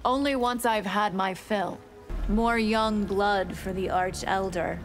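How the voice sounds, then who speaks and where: A bloodthirsty vampire played by a woman from a fighting game. She is haughty and menacing, speaking at a middling pitch over faint music. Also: takes it slowly, close to an everyday voice. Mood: predatory. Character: bloodthirsty female vampire villain, predatory and proud. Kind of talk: fighting game character dialogue.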